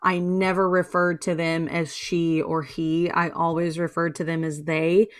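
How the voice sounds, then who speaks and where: A young woman from the American South with a low voice, chatting casually and bluntly as a podcast co-host. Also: level tone, unhurried, clean tone. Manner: blunt, swears freely, trails off with "like". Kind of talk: casual chat.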